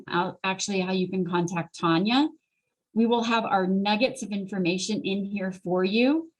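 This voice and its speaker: A woman's voice: on the high side, low-quality recording. A middle-aged woman speaking as host and career coach in a motivational online talk, earnest and conversational, addressing her listeners directly. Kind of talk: motivational webinar presentation. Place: online video call.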